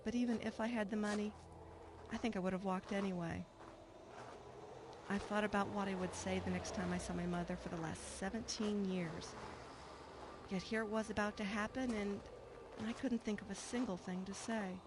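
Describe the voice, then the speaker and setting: A woman's voice: medium pitch, fairly even intonation, normal speed, lightly acted. An actress playing a troubled middle-aged woman, earnest and reflective, in a narrated radio drama. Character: troubled, wistful woman returning home to her estranged mother. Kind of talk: radio drama acting with first-person narration. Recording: clear background noise.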